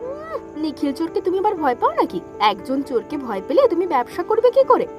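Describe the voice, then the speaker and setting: A woman's voice: very high voice, wildly swooping pitch, brisk, exaggerated voice. A woman playing a bold, scornful woman who chides emphatically in a cartoon folk tale. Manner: emphatic, chiding. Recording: music playing behind the voice.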